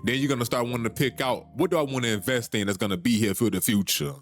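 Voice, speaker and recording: A man's voice: mid-range voice, fast talker. Thirtysomething guy, urgent preachy podcast rant, keeps repeating himself, faint music under it. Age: 30s. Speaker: podcast host. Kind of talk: solo podcast monologue.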